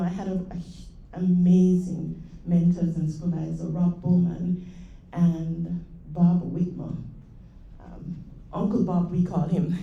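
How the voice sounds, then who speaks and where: A woman's voice: on the low side, mellow. A middle-aged woman from Jamaica with a Jamaican accent, giving a conversational conference panel talk with fillers. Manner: conversational, with fillers and small laughs. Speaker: panel speaker, assistant professor. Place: conference room. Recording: dull roomy hall sound.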